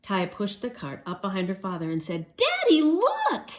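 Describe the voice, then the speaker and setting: A woman reading a children's story aloud as a storyteller, expressive and emphatic. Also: fairly low pitch, brisk.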